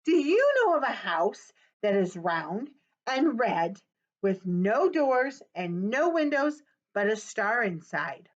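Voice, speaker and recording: A woman voicing a small, bored boy who pleads and asks questions in a high, whiny voice. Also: sing-song, slow pace, full character voice. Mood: pleading. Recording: dull webcam audio.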